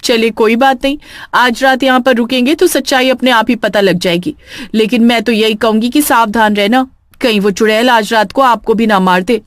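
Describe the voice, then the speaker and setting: A woman's voice: fairly high pitch, steady tone, normal speed, dry recording, studio quality, lightly acted. A woman voicing an alarmed, fearful local woman in a cartoon horror story. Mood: warning.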